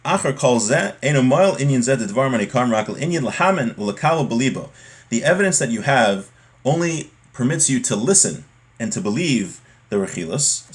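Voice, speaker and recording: Male religious teacher, medium pitch. Study-lesson delivery: reads the source, explains it, rephrases for clarity. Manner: explanatory, repeats and rephrases points.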